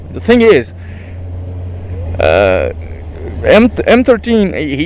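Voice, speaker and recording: A young Frenchman with a French accent chatting casually while riding his motorcycle through city traffic, halting and full of restarts. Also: fairly high pitch, a little mellow. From France. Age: young adult. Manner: halting, many restarts and fillers, swears at traffic. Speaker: motorcycle vlogger. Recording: dull lo-fi helmet mic with faint traffic noise.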